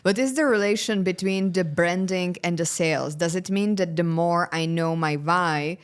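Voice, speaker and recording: A woman from the Czech Republic hosts a podcast in a rather low voice for a woman. She listens with steady murmurs and asks long, searching questions. Her talk is casual and conversational. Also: normal speed, clear, resonant tone. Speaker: podcast host.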